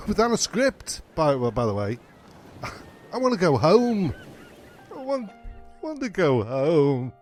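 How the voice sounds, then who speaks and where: A stranded failed comedian acted by a man in a comedy radio play, theatrical and self-pitying. His fairly high voice swoops widely as he exclaims and swears. Faint music sits behind him. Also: huge pitch swings, slow pace, pauses often, heavily acted. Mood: ranting, anguished.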